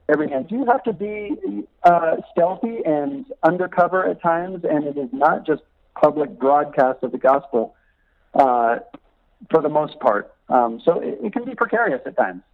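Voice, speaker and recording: A man's voice: a little high, a little slow. Middle-aged man on a choppy phone line. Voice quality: laughs often while talking. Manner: lively, animated storyteller. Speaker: missionary guest. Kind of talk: phone interview, storytelling. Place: calling in from abroad.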